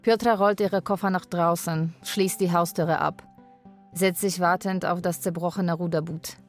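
Actress as carer lady, low flat voice, wistful, frank, radio drama, bit of music under it. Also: moderate pace, close to an everyday voice. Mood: narrating, matter-of-fact. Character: Polish live-in carer, woman, wistful and frank. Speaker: actor. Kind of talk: radio drama narration and dialogue.

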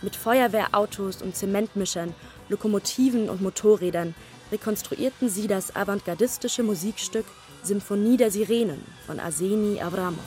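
A woman reading a scripted radio feature narration in a measured, polished way over faint music. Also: medium pitch, a little mellow.

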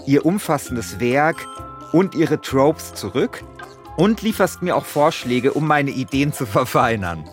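A man co-hosting a podcast in a casual, animated way. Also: medium pitch, unhurried, soft background music. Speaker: podcast co-host. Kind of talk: casual animated chat, reading out his own text.

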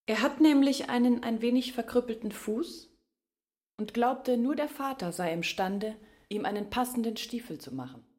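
A woman's voice: fairly high pitch, expressive, normal speed, a little mellow, lightly acted. An actress playing a frank village woman who comments plainly on a family's misfortune in a literary radio drama. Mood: frank, sober.

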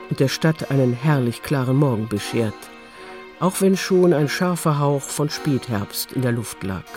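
A man's voice: mid-range voice, darkish tone. A man narrating a radio drama from a script as storyteller.

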